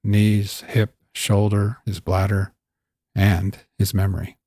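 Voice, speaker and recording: Low, husky man narrating fiction. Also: level tone, takes it slowly, warm tone, no room sound. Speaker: host and narrator.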